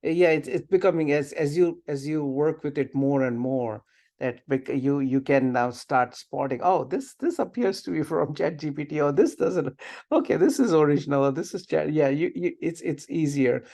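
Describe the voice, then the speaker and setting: A middle-aged man leading an informal online class discussion, halting as he repeats and rephrases himself. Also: fairly high pitch, creaky, darkish tone. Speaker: webinar instructor. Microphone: video call computer mic.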